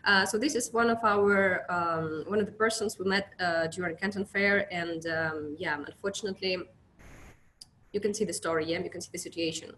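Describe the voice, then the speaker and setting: A woman's voice: lowish voice, steady tone, moderate pace, fairly bright tone, slight background noise. A young woman from Russia with a Russian accent, presenting a business webinar as an account manager, speaking hesitantly with many fillers and restarts.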